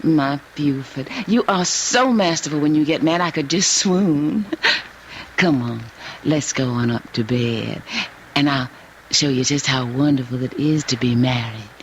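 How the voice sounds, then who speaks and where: An actress playing a willful, coquettish young Southern US newlywed wife in old-time radio drama style, honeyed and cajoling, then imperious. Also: female voice, extremely low pitch, a little slow. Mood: coy, honeyed. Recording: dull noisy old radio drama recording.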